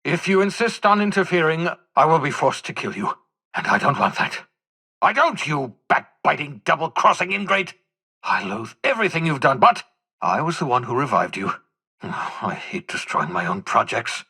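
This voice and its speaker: An embittered old renegade scientist for a video game. The voice is raspy and forceful, swinging widely in pitch. He rants with theatrical sarcasm and erudite, agitated desperation. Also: sing-song, moderate pace, exaggerated voice. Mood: regretful, resolute. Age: older. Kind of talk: video game voice acting, dramatic monologue.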